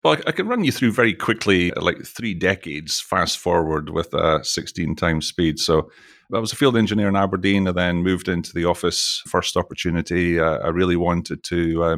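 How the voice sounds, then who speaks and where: Deep-voiced Scottish man, dry close sound. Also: fairly quick, fairly bright tone, no room sound. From Scotland. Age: middle-aged. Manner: chatty and jokey, with frequent restarts. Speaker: podcast guest, oil industry executive. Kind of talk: casual interview chat.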